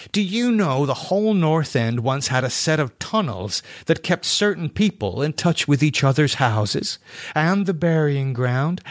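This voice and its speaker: A man's voice: a little high, no echo, studio quality, voice pushed up. A man voicing a fervent, scornful painter obsessed with the macabre, declaiming with contempt in an audiobook horror story. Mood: urgent, secretive.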